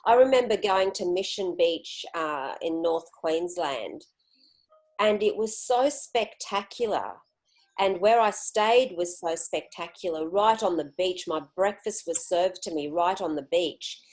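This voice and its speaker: A woman's voice: fairly low pitch, expressive, unhurried, a little mellow, rough recording. A woman from Greece with an Australian accent, a friendly and outgoing interview guest. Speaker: guest, solo traveler. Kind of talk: casual interview chat.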